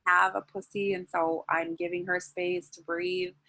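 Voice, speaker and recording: A woman interviewed as a guest, talking in a soft, flowing way with many "like"s and "um"s, then leading a guided breathing practice. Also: on the low side, level tone, unhurried, poor audio. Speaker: guest, sensual wellness teacher.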